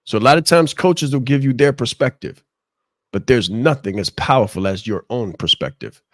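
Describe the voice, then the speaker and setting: A man with a naturally loud, projecting voice, coaching on a video call with passion and emphatic repetition. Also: mid-range voice, lively intonation, normal speed, no room sound, clean studio sound. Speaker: leadership coach. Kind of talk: motivational talk on a live show.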